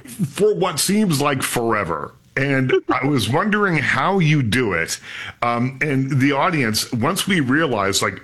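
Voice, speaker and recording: A man hosting a radio interview, asking lively conversational questions and reading out promos. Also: medium pitch, husky. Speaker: radio show host.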